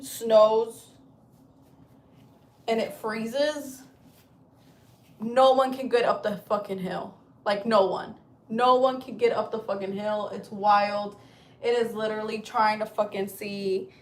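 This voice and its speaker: A woman in her thirties, from Chile, chatting casually on a livestream from home, rambling and swearing freely. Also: medium pitch. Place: at home, indoors.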